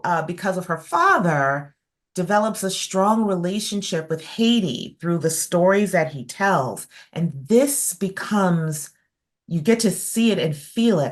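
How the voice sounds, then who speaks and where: A woman hosting an interview, speaking in a measured, thoughtful way with frequent fillers over a video call. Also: on the low side. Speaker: host.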